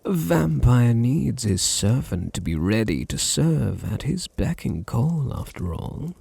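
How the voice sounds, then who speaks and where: Man acting as British male vampire noble, very breathy and husky, slow, dominant, tenderly possessive, intimate ASMR roleplay. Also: mid-range voice, sing-song, mellow, no echo, exaggerated voice. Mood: teasing, hungry. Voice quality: breathy, husky, often sinking into whispers. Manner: slow, soothing, teasing, condescendingly tender.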